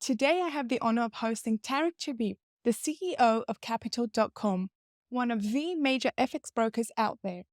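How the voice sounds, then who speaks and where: A young woman hosting an interview podcast, curious and lively, asking follow-up questions. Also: fairly high pitch, dry recording, studio quality. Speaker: podcast host. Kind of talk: interview podcast, scripted intro then chat.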